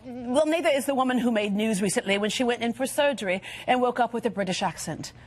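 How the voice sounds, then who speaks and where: A woman hosting a morning TV talk show in a studio, lively and playful, laughing often as she chats with guests and the audience. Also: on the high side, fast. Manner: animated, laughing, playful asides.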